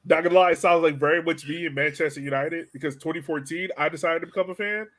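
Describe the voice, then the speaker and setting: Podcast host, man, voice a bit on the high side, informal and slangy sports chat. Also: quick pace, a bit bright.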